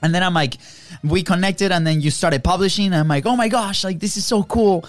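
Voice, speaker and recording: Male co-host, higher voice, close dry sound, chatty and gushing, loops back on his phrases. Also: fairly quick, no echo. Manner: effusive, repeats words and phrases. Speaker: podcast co-host. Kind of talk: casual, chatty live show hosting.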